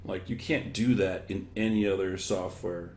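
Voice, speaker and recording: An echoey room and some background noise surround this man's rather low voice. He talks conversationally as an interview guest. His answers come haltingly, built up from one example after another as he thinks. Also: fairly even intonation, darkish tone. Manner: halting, thinking aloud in loose chains of examples. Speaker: interviewed guest, education technology expert.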